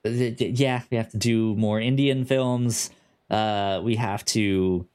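A man with a stutter hosting a casual podcast, halting with many ums and restarts. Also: lowish voice, steady tone, darkish tone, smooth. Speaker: podcast host.

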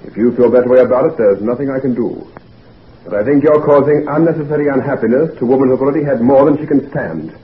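An actor playing a concerned, frank physician friend, chummy and old-fashioned, in a 1940s radio drama. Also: male voice, medium pitch, quick pace, natural voice. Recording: noisy, tinny 1940s radio recording.

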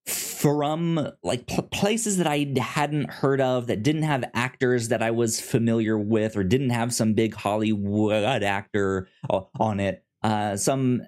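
A man hosting a casual review podcast, informal and halting, stammering over the starts of words. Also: medium pitch, darkish tone. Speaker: podcast host.

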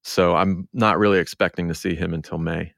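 A man's voice: low voice, fairly even intonation, brisk, vocal fry, fairly bright tone, no room sound, studio quality. A man hosting a podcast, talking through sports in a casual discussion style. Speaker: podcast host.

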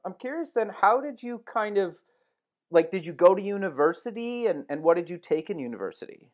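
A man's voice: sits high, animated, fairly quick. A man hosting an interview podcast, asking casual questions with lots of fillers. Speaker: podcast host.

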